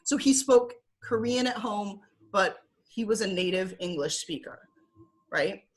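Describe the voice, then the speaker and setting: A woman's voice: medium pitch, unhurried, rough recording. Chatty woman with a Michigan accent. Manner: chatty with frequent fillers, laughs often. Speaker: guest. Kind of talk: casual interview talk. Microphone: video call.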